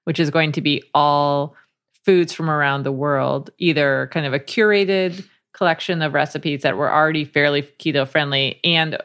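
A woman hosting a podcast interview, asking questions and chatting in a steady, conversational way. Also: low-pitched, moderate pace, clean tone. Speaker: podcast host.

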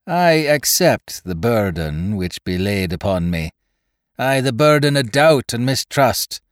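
A man's voice: medium pitch, sing-song, unhurried, no echo, studio quality, pitched above the performer's own voice. A man voicing a murderous ship's gunner who speaks in a whining, pious tone.

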